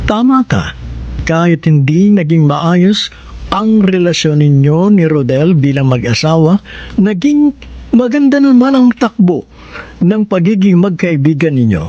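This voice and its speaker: A man hosting a radio drama program with formal, measured, expressive scripted narration. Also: a little high. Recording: dry, dull band-limited radio sound.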